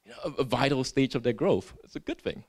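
A man's voice: medium pitch, expressive, fast talker, vocal fry, a little mellow. A man from Singapore preaching a sermon in an earnest way. He often tags his points with "right?" and restarts his words.

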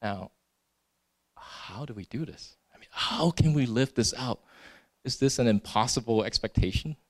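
Male preacher from Singapore, mid-pitched, earnest, checks in with "right?" and stumbles over restarts. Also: animated, moderate pace. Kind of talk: sermon.

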